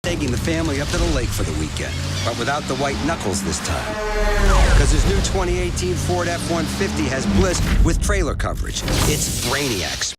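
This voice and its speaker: A man's voice: fairly high pitch, big pitch swings, fairly quick. A man doing an upbeat commercial voice-over for a truck. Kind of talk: upbeat truck advertisement read. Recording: loud music under the voice.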